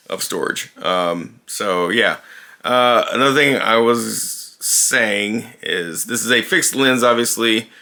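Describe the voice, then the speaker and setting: A man's voice: medium pitch, bright, forceful tone. A man casually demonstrating a new camera indoors, talking loosely with lots of fillers and restarts, recorded on the camera's own built-in mic. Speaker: vlogger reviewing a camera. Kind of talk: casual unscripted camera demo. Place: indoors, dim room.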